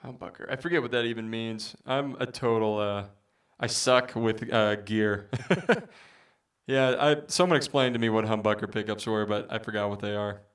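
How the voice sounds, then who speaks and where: A man with a baritone voice chatting casually with viewers as a musician streamer, rambling with many fillers. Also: moderate pace, fairly bright tone, clean tone, distant mic. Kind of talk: casual livestream chat.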